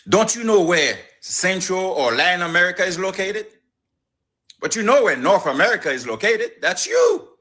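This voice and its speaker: A man's voice: on the high side, pushed and bright. A man from Haiti ranting in a social media clip, lecturing and emphatic.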